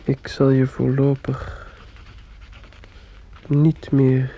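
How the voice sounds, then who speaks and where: A man's voice: medium pitch, monotone, drawn-out words, creaky, mellow, exaggerated voice. A man acting a troubled, withdrawn young male student in a radio drama, on a dull, muffled old recording. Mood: cold, measured.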